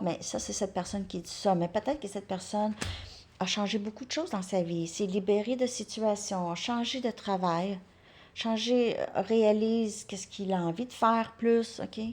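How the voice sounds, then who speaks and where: A woman giving a casual tarot card reading. She thinks aloud, repeats words and drops into soft asides. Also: medium pitch.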